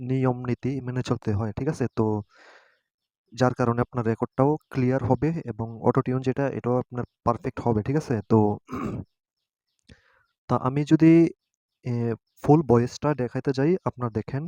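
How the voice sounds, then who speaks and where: A man hosting a casual step-by-step tutorial, often pausing to check that listeners follow. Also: mid-range voice, fairly even intonation, darkish tone, dry recording. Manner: repeatedly adds a checking tag question. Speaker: tutorial host. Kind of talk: casual screen-guided app tutorial.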